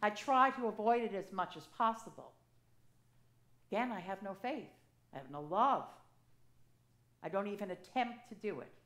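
Woman priest in her sixties, chatty storytelling sermon at a pulpit mic, mid pitch, roomy church echo. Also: lively intonation, normal speed, long pauses, fairly bright tone. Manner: conversational, personal storytelling with gestures. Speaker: assisting priest. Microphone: gooseneck pulpit mic. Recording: echoey church sanctuary.

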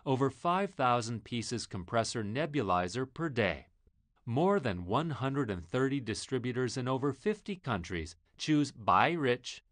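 A man's voice: medium pitch, sing-song, measured pace, fairly bright tone, dry recording. A man narrating a scripted company promotional video in a formal presentational tone. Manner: reads company facts and lists in a formal presentational tone. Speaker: corporate voice-over narrator.

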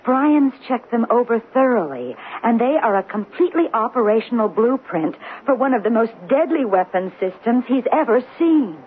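A woman's voice: fairly high pitch, lively intonation, normal speed, hardly any pauses, lightly acted. An actress playing a concerned, alert woman helping a detective in a radio drama, anxious and questioning. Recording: dull, hissy old radio broadcast.

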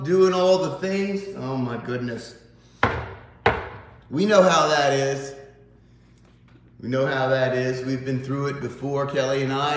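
A man in his fifties with a Southern accent, a pastor giving an informal, folksy morning devotional to a phone camera in a small church sanctuary. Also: on the high side. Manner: folksy, conversational, animated. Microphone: phone camera on a tripod, close up. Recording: very echoey church room.

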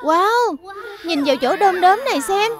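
A woman voicing an excitable, friendly little bear cub in a children's cartoon dub. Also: extremely high pitch, unhurried. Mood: excited. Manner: eager, exclamatory, childlike. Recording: background music under dialogue.

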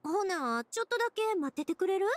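A woman voicing a warm, upbeat young big-sister figure in an anime game, reassuring and earnest, with a Kansai accent. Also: very high-pitched, very animated, a little fast, a little hiss or hum. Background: music.

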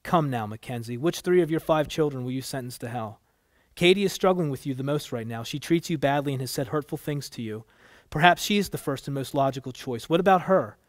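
Guy doing a wise holy lady judge for an audiobook, measured n firm, very dry sound. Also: medium pitch, animated, dry recording, natural voice. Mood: stern, probing. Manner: measured, solemn, unemotional. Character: calm, wise divine woman judge, firm and tender.

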